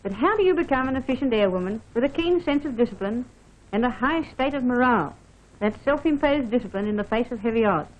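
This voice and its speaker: High-pitched woman narrating a vintage training film. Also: animated, fast talker. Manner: formal, instructive, addressing the listener directly. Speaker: training film narrator, air force officer. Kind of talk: scripted instructional film narration. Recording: dull band-limited vintage film soundtrack.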